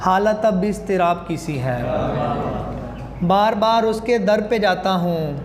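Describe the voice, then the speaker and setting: Through a roomy, echoing hall and noticeable noise, a man recites poetry. His pitch is high for a man. He delivers each line in measured, declamatory phrases. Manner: measured, repeating lines declamatorily. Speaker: student. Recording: very echoey hall, clear background noise.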